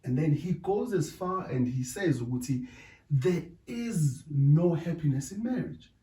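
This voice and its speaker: A man's voice: on the high side, animated, mellow. South African man, echoey distant sound. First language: Zulu. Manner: deliberate, often checks in with "right?". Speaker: podcast co-host. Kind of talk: casual discussion. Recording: echoey room, distant mic.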